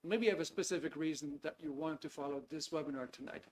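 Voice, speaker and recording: A man's voice: a little high, quick pace, a little hiss or hum. A man in his fifties with a Dutch accent, hosting a live online webinar in an easygoing, chatty way with many hesitations. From Netherlands. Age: 50s. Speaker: webinar host. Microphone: video call computer mic.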